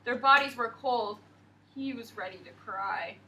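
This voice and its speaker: A young woman teacher reading a picture book aloud to a class in an expressive, sing-song way and asking questions. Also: fairly high pitch, measured pace, recorded from a distance, a little hiss or hum, rough recording.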